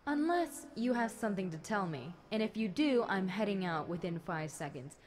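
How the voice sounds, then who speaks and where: A woman's voice: medium pitch, animated, moderate pace, a little mellow, lightly acted. A woman voicing a bored, chatty demon girl in an audio roleplay, casual and teasing, talking straight to the listener. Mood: wry, indignant. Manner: casual, teasing, conversational with light chuckles. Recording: clear background noise.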